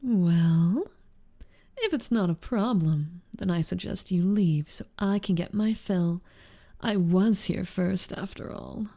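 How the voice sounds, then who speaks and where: A woman plays a smug, seductive rival succubus in an ASMR roleplay. Her voice is slow, mellow and creaky, often sinking into breathiness. She teases and taunts with sly confidence. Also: lowish voice. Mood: taunting. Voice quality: breathy, half-whispered in places. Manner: drawling, teasing, taunting. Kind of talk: ASMR audio roleplay acting.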